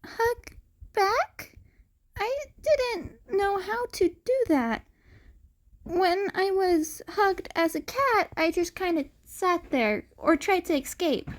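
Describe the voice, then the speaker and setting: A woman's voice: very high-pitched, lively intonation, slow pace, pitched above the performer's own voice, exaggerated voice. A woman voicing a timid, innocent young cat-hybrid boy who speaks hesitantly with stammers and a tearful edge in an audio story. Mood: innocent confusion.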